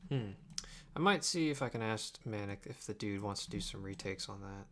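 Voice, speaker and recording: A man's voice: on the low side, lively intonation, normal speed. A young man chatting casually and offhandedly on a livestream while he edits video at his computer, sometimes muttering to himself. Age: young adult. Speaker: live streamer and video editor. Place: indoors at a home computer desk. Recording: clicks and keyboard noise behind the voice. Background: mouse clicks, typing.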